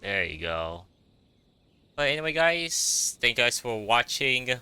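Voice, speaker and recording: A man's voice: medium pitch, big pitch swings, measured pace, sharp tone, clean tone. A man giving casual gameplay commentary as a streamer, informal, muttering short reactions. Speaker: game streamer.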